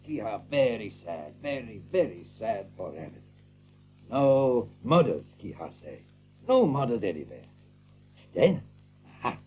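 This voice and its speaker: A man playing an excitable, blunt, big-hearted seagull who talks in broken English with drawn-out exclamations, in an audiobook drama. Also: on the low side, a little hiss or hum. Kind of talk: audiobook drama character acting.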